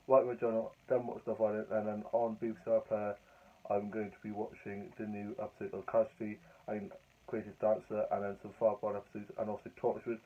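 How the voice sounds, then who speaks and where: A young man with a hoarse, croaky voice from a cold, chatting casually in a rambling, upbeat vlog. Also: lowish voice, level tone, brisk. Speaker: vlogger. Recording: tinny phone-like audio.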